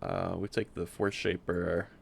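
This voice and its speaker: A man presenting a game build in a casual, unscripted way, rambling and halting as he often repeats words. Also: low voice, level tone, creaky, breathy edge.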